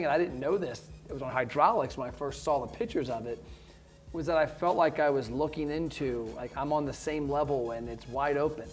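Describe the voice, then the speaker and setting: A man hosting a casual interview, introducing his guest with enthusiasm. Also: medium pitch. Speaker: host.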